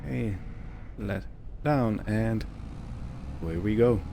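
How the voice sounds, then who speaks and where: A man with a fairly low voice commentates casually on a roleplay game. He talks very slowly, thinking aloud in short phrases. A faint vehicle-like ambient hum sits behind him. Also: vocal fry. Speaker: gaming video host.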